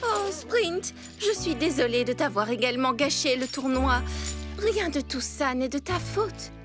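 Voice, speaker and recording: A woman's voice: high voice, animated, unhurried. A woman voicing a shy, kind-hearted cartoon girl with an earnest, hesitant manner in a French dub. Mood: apologetic. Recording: clear music behind the voice.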